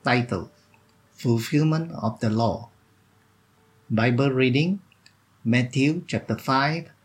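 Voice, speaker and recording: A man reading a scripted devotional in a solemn, deliberate manner, phrase by phrase. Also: medium pitch, husky. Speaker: devotional reader.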